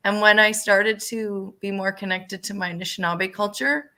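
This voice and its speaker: A woman from Canada giving informal spiritual teaching to a group in an earnest, reflective and somewhat halting way. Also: mid-range voice, level tone, pushed and bright. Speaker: singer leading a drumming circle.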